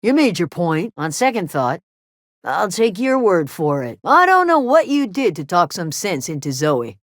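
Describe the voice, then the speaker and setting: A woman playing a gruff, guarded frontier settler who watches over a camp, terse and plain-spoken, in a video game. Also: lowish voice, sing-song, a little slow, dry recording, clean studio sound. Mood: reserved gratitude. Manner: terse, wary, plain-spoken with folksy drawn phrasing. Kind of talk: video game character dialogue.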